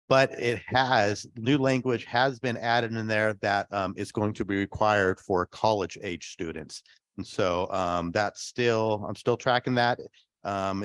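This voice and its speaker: A man on a school board giving a halting update, full of ums. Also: fairly low pitch, fairly bright tone, dry recording. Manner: halting update, many fillers. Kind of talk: formal meeting update. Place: board meeting room.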